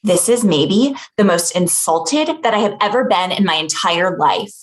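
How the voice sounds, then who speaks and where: A woman's voice: fairly low pitch, expressive, a little slow. A woman reading the part of a sarcastic, easily insulted young woman, snippy and incredulous, at a comedy table read. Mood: indignant, snide.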